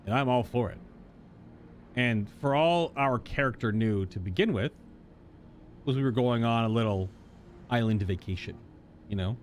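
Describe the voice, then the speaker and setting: A man streaming a game with casual live commentary, thinking aloud in a drawn-out, emphatic way. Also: mid-range voice, slight background noise.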